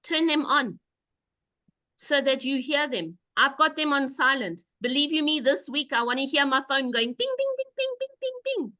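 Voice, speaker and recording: This woman has a high, bright voice and leads a group call. She explains patiently and leans on emphatic repetition to drive her points home. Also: very animated, normal speed. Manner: emphatic, repeats key points. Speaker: call host. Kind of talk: call presentation, explaining step by step.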